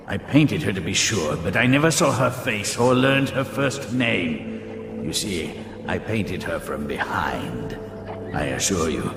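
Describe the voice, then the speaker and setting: An actor playing a proud, cultured older painter in a video game, drawling haughtily and deliberately. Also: male voice, lowish voice, gravelly, full character voice. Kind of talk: dramatic game cutscene dialogue. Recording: roomy echo under loud music.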